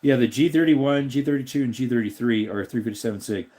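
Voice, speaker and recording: A man with a slightly raspy voice hosts a relaxed live stream. He rambles and thinks aloud as he answers his viewers' comments. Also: mid-range voice, quick pace. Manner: rambling, thinks aloud while looking things up.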